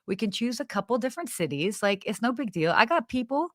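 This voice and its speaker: A woman co-hosting a podcast in casual live chat, with an animated, giggly, exclamatory way of talking. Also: on the high side, fast talker, no room sound, studio quality. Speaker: podcast co-host.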